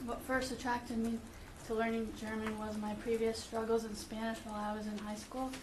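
A middle-aged woman reading a letter aloud at a school meeting, steady and careful, with occasional fillers. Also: on the high side, moderate pace. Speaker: parent speaking at public comment. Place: meeting room with audience. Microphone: far room camera. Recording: echoey room, distant camera, background noise.

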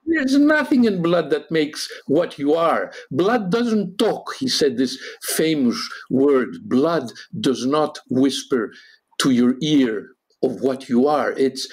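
Man, husky, halting speech, lots of fillers, podcast guest. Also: on the high side, sing-song. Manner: halting, many fillers, rises sharply for quotes. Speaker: guest historian. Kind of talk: animated discussion, podcast panel.

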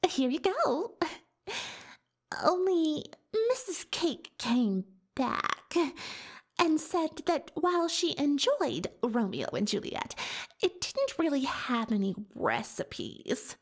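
Actress for a self-important unicorn pony magician, cartoon ASMR roleplay: very animated, unhurried delivery, haughty and easily defensive. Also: female voice, high-pitched, husky, exaggerated voice. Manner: pompous, third-person bragging, hesitant when cornered. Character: boastful, defensive female unicorn pony magician turned librarian.

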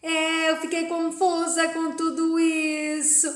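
A woman voicing a furious young woman who shouts accusations through tears, raised high above her own voice, in an echoey room. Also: completely flat delivery, slow pace. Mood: furious crying. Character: young woman, furious and sobbing after childbirth.

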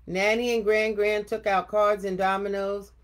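An older woman reading a children's picture book aloud expressively, with deliberate, emphatic phrasing. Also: mid-range voice, little pitch movement. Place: home office room indoors. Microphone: webcam at desk distance.

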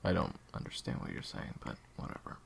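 A man playing a guarded younger brother turned betrayer in an audio roleplay, answering in a terse, evasive, halting way. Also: heavy background noise, lightly acted. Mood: guarded, dismissive. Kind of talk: audio roleplay drama acting.

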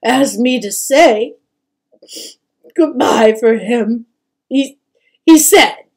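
A husky, fretful troll mother from a fantasy storybook, acted out by a woman narrator. She speaks slowly and emphatically, fussing and grieving over her child with tearful, loving weight. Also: mid-range voice, big pitch swings, full character voice. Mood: grief-stricken.

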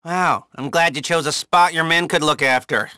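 A sharp-voiced, snarky heist planner. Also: male voice, on the high side, normal speed, no room sound, studio quality. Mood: sarcastic. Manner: sarcastic, urgent. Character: snarky, excitable male heist planner barking orders. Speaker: mission handler. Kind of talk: video game voice acting.

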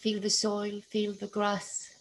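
Slow, soothing woman leading a meditation. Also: mid-range voice, a little hiss or hum, low-quality recording. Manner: slow, soothing, hushed and even. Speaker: meditation guide. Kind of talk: guided meditation.

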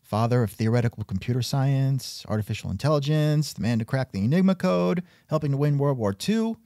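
Sardonic male comedy host, animated intonation, dry close mic. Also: mid-range voice, fairly quick, no room sound. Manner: sardonic, exasperated. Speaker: podcast host. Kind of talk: scripted comedic interview.

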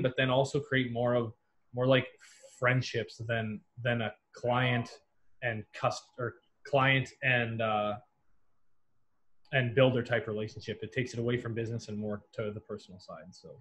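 Young man, American accent, medium pitch, casual explanatory talk, builder on a video-call panel. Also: steady tone, moderate pace, a bit bright. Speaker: home builder, panel guest. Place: small office with whiteboard wall. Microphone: computer mic on video call.

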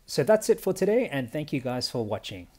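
A young man narrating a kayaking speed test in a measured, explanatory voice-over. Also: medium pitch, sing-song, mellow. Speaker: kayaking vlogger. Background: faint background music.

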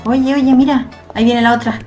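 A woman's voice: a little high, steady tone, brisk, darkish tone, soft background music, natural voice. A woman with a Chilean accent playing a spiteful, nosy young friend in a radio drama, blunt and colloquial. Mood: catty.

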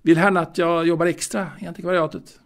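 A male actor playing a wistful, bookish, secretive man who recalls his youth in a halting, confiding audio drama monologue, with a creaky voice. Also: fairly high pitch, flat delivery, close to an everyday voice. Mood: hesitant, matter-of-fact. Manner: hesitant, halting, repeating words.

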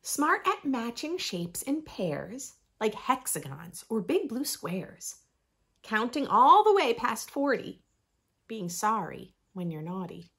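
Animated, slow-paced woman reading to children. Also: fairly high pitch, creaky, slightly rough. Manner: animated, deliberate phrasing with playful emphasis. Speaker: host of a children's read-aloud channel. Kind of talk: expressive picture-book read-aloud for young children. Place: small furnished room indoors.

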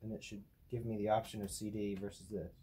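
A man's voice: fairly low pitch, steady tone, fast, dark tone. A young man thinking aloud casually in a hesitant, puzzled mutter. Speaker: participant trying out old technology. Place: small cluttered room at a desk indoors. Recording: clear background noise with clicks.

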